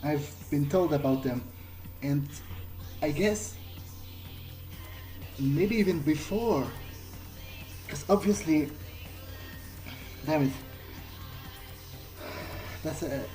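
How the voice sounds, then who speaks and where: A man playing a slow, hesitant, bewildered young vampire. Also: fairly high pitch, mellow, faint music behind, low-quality recording. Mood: pensive, troubled. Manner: halting, hesitant, searching for words. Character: bewildered newly turned vampire, former programmer, musing earnestly. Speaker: video game player speaking in character. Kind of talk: in-character thinking aloud during a playthrough.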